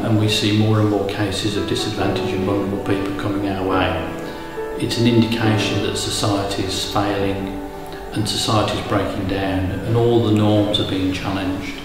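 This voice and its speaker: Man, low voice, earnest hostel worker, loud music in an echoey hall. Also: flat delivery, moderate pace. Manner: thoughtful, earnest. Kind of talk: documentary interview.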